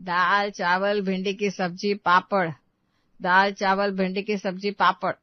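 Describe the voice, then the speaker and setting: A woman's voice: medium pitch, flat delivery, unhurried, no echo, highs cut off, lightly acted. An actress playing an older, thrifty, scolding mother-in-law in a cartoon story.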